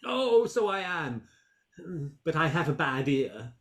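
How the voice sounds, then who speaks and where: A man playing an excitable, self-satisfied gentleman critic in a period stage comedy, exclamatory and blustering. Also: fairly high pitch, slow, music in the background, heavily acted. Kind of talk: theatrical period comedy play reading.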